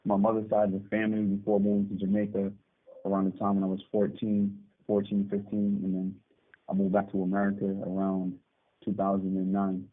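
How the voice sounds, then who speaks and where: Guy in his 30s calling from prison, low monotone voice, phone-line sound. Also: fairly quick. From Barbados. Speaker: guest. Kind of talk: phone interview. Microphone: phone call.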